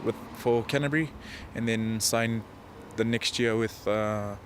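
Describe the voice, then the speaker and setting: Low-voiced, hesitant young Fijian man. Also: steady tone, slight background noise. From Fiji. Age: 20s. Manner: hesitant, modest, halting delivery. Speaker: professional rugby player being interviewed. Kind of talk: casual interview answers.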